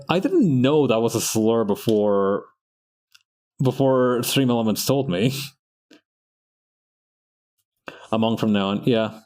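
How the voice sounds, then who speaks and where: A man's voice: on the low side, a little mellow. A young man whose first language is Spanish, chatting in a rambling, casual way while he draws on stream, often breaking off mid-sentence and laughing. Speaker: artist streaming practice.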